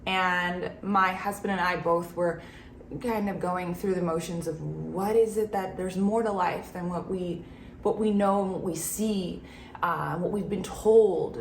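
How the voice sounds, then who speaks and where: A woman in her thirties talks in a reflective, earnest way, often pausing on a filler before going on. Her mid-pitched voice carries a gravelly fry. The room around her is echoey, with a faint hiss underneath. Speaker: spiritual channel host. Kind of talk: personal talk to camera.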